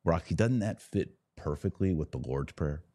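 A man's voice: low voice, expressive, normal speed, mellow. A man hosting a live religious commentary stream, reading out comments and answering them in an emphatic, preachy way with short repeated declarations. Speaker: podcast host.

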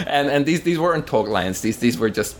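A man's voice: medium pitch, lively intonation, normal speed, a little hiss or hum. A man with a Dutch accent from the Netherlands, a guest in a conversation, speaking hesitantly with many ums and some laughter. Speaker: interview guest. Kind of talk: casual conversation.

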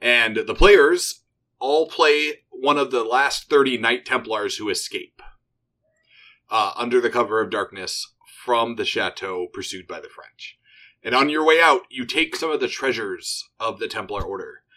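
A man's voice: unhurried, sharp tone, low-quality recording. A man who designed the game, a podcast guest explaining it with enthusiasm in casual chat. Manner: enthusiastic, pitching his game.

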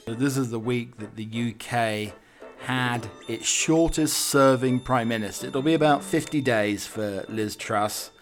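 A man's voice: medium pitch, measured pace. Wry man rambling over music. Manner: wry, digressive, hesitant asides. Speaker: podcast host. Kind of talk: rambling comic monologue on the week's news.

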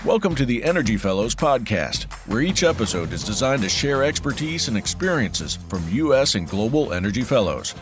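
A man announcing a podcast intro with a lively scripted delivery. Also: mid-range voice, a little fast, hardly any pauses. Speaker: show announcer. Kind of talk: scripted intro over music. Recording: announcer over music bed.

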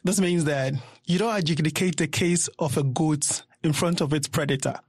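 A man's voice: a little high, normal speed, breathy edge, no echo. A man from Ghana with a Ghanaian accent, a native Ga speaker, carefully explaining a saying. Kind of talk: recorded listener contribution.

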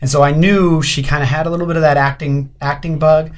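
A man's voice: on the high side, fairly even intonation, fairly quick. Rambling male animator, casual talk. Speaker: creative director and lead animator. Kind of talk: casual interview answers.

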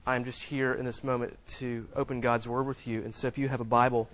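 Man, soft-spoken preacher, halting with fillers, muffled lo-fi sound. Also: medium pitch, flat delivery. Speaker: visiting preacher. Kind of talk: sermon with scripture reading. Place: church sanctuary. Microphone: no microphone, distant.

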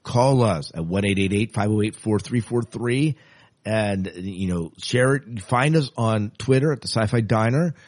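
A man with a somewhat low voice hosts a podcast. He talks casually and politely, chatting to his guests and listeners in an informal way. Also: unhurried, a little mellow. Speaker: podcast host.

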